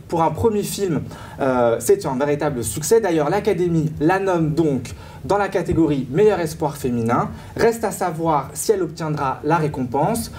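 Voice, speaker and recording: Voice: mid-range voice, a little mellow, a little hiss or hum. A young student presenting a celebrity gossip column on a studio panel, reading from notes with frequent hesitations. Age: young adult. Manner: reads steadily with frequent "euh" hesitations. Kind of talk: scripted TV column read from notes.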